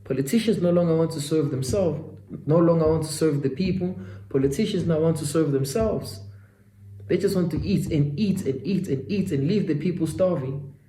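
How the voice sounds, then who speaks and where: A man's voice: fairly high pitch, normal speed, mellow, roomy sound, low-quality recording. A man in his twenties giving a faith-driven motivational talk, repeating phrases and calling the listener "my guy.". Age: 20s. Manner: preachy, repetitive, addresses himself and listener as "my guy". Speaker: self-development vlogger. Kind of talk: motivational monologue.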